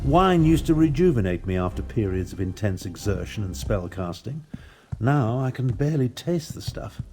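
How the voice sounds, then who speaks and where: An actor playing a jaded, haughty old battlemage in a video game, pompous, weary and sardonic. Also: male voice, mid-range voice, sing-song, normal speed, dark tone, faint music behind, natural voice. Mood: jaded, disdainful. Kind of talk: video game character dialogue.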